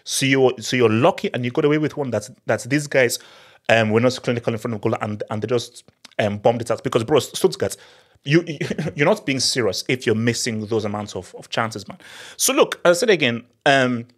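Animated man giving football analysis. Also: medium pitch, fairly quick. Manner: animated, emphatic, repeats words for emphasis. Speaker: football channel host. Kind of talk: post-match analysis monologue. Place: small home studio, indoors. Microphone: studio mic on boom arm.

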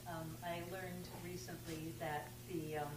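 A woman's voice: low-pitched, level tone, measured pace. A woman in the audience asking a hesitant question during a talk. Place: lecture room audience. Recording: roomy, distant, with hum.

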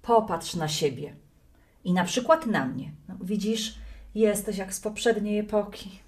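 A woman's voice: mid-range voice, unhurried, darkish tone, close to an everyday voice. An actress playing a brash, outspoken middle-aged grandmother in an audio play, blunt and nagging. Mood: frank.

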